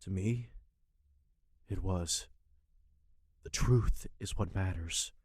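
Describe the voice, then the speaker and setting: A man's voice: deep voice, slow pace, a little mellow, slightly rough, heavily acted. A man playing a guilty but principled subject of royalty in an audio drama, earnest and deferential in manner. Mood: resigned, earnest.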